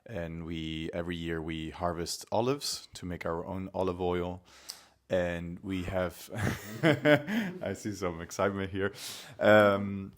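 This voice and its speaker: A young man from Italy, talking in a relaxed, thoughtful way with many fillers as a podcast guest. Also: low-pitched, slow. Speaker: podcast guest, climate activist. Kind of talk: casual conversation.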